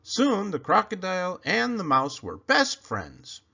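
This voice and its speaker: A man reading a children's picture book aloud in an expressive, animated storytelling manner. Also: high voice, unhurried, creaky. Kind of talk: children's read-aloud narration. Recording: slightly muffled recording.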